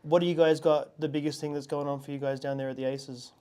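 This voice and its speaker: A man's voice: a little high, little pitch movement, quick pace, warm tone, clean tone. A man hosting a casual interview, encouraging his guest and rambling with frequent restarts. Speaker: podcast host.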